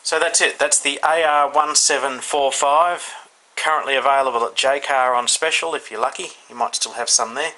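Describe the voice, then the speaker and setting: A man's voice: mid-range voice, fairly even intonation, normal speed, few pauses, sharp tone, low-quality recording. An Australian man giving a casual, unscripted product review indoors, thinking aloud in an informal, hesitant way. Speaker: hobbyist radio reviewer. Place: indoors, in a room. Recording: clear background noise, indoor home recording.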